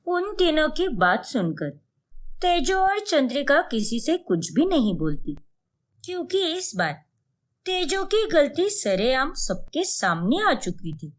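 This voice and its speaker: Slow, very expressive woman storyteller. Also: high-pitched. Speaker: narrator. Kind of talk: scripted narration of animated moral story.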